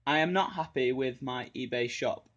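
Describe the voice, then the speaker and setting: Male online seller-vlogger, mid pitch, informal and slightly hesitant delivery, conversational. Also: moderate pace, a bit bright, highs cut off. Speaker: YouTuber and eBay seller. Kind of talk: casual vlog talk and phone call.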